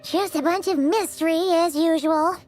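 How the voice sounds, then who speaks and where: A woman voicing a tiny childlike fairy companion in a video game, squeaky and bubbly. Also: fairly even intonation, normal speed, darkish tone, soft background music. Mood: chatty, puzzled. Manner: speaks of self in third person, bubbly.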